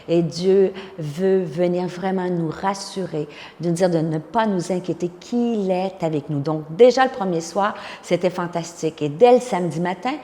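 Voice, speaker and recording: A woman in her fifties speaks with a Québécois accent in a slightly low register. Long reverb surrounds her voice as she gives a personal, encouraging testimony in the manner of a conference speaker. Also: dark tone. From Quebec, Canada. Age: 50s.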